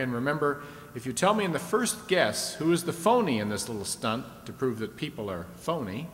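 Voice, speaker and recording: A man's voice: fairly bright tone, lightly acted. Man playing echoey old-time radio quiz host. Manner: chatty, leading contestants along with questions. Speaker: game show host. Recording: echoey hall, faint rumbling noise.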